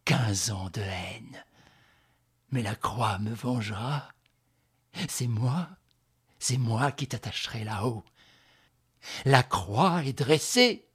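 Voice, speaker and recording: A vengeful woman villain from a dramatic novel, as a male narrator puts her on. The voice is husky and rasping. She speaks in jerky, measured bursts, full of menace. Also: mid-range voice. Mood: hateful vengeance. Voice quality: hoarse, rasping. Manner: halting, jerky, scanning her words. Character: hate-filled withered blonde villainess, vengeful and menacing.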